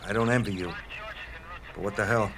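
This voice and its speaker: An actor playing a gruff, world-weary male policeman in an audio drama, blunt and offhand. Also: fairly low pitch, fairly even intonation, a little fast, fairly bright tone. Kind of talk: modern audio drama acting.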